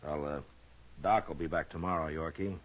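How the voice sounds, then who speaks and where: An actor playing a calm, fatherly frontier marshal who speaks in a measured, gently hesitant way, in old western radio drama style. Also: a bass voice. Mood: gentle, reassuring. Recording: muffled old radio recording with hiss.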